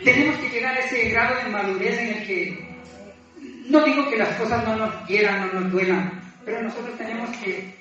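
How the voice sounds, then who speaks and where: A man preaching informally, exhorting and confessing, halting and self-deprecating, often repeating his phrases. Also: sits high. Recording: echoey room, distant mic, muffled, music behind.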